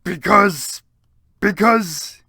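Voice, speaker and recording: A reader voicing an overwrought, lovestruck male wizard student who proclaims everything haltingly and bombastically. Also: mid-range voice, pushed and bright, breathy edge, no room sound, clean studio sound, pitched above the performer's own voice, full character voice. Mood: anguished passion.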